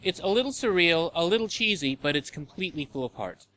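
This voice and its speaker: A man's voice: a little high, lively intonation, fast, pushed and bright, slight background noise. A man reading a scripted review narration as the host of a countdown list video.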